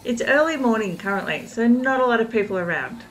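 Woman narrating a calm travel guide. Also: on the high side, a little fast, slight background noise, poor audio. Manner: calm, informative, recommending. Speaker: travel vlogger. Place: outdoors at a riverside city park.

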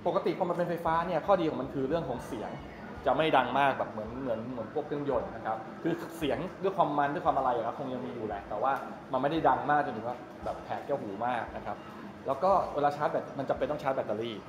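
A man's voice: mid-range voice, very fast. Chatty man in a noisy, echoey hall. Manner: chatty, explanatory, rambling. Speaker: vlogger giving a tour. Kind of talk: casual walk-through explanation to camera. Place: large open-sided go-kart pit hall. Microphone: 360 camera at arm's length. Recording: roomy echo, loud ambient noise.

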